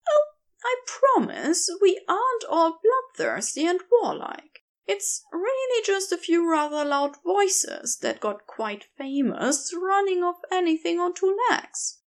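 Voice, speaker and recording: A woman voicing a gentle, anxious, bookish male centaur in a storybook reading, polite and flustered. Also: very high voice, very animated, slow, voice pushed up, exaggerated voice. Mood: flustered, earnest.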